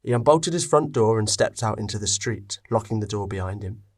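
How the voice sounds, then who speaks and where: A man narrating a scripted story expressively, with dramatic pauses. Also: fairly low pitch, expressive.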